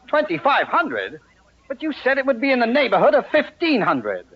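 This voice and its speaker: An actor playing an exasperated, gullible middle-aged homeowner in an old-time radio comedy, indignant and sputtering. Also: male voice, very high-pitched, huge pitch swings, normal speed, husky, highs cut off, heavily acted. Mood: terse, grumbling.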